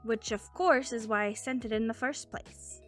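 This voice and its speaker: A woman's voice: a little high, normal speed, faint music behind, lightly acted. A woman voicing a cheerful, quirky teenage girl who chats playfully in a fan dub, with an airy, teasing manner. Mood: cheerful, breezy.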